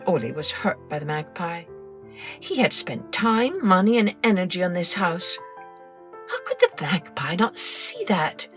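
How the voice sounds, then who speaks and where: A woman narrating a children's story in an expressive, scripted storytelling style, like a podcast host. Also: on the low side, unhurried, faint music behind.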